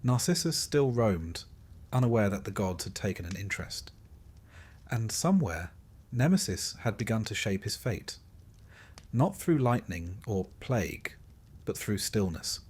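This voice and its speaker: A man with a fairly low, husky voice narrates a sleep story. His delivery is calm and measured, like scripted bedtime storytelling, with a dry, wry edge.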